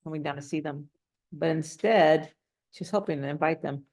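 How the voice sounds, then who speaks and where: A woman's voice: sits low, moderate pace, a little mellow. A woman reading a children's storybook aloud, adding casual, simple explanations and questions for young listeners. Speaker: storybook reader.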